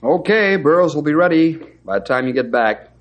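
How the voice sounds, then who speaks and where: An actor playing a helpful, plain-spoken forest ranger in an old-time radio western. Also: male voice, a little high, expressive, brisk, lightly acted. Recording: old radio drama recording.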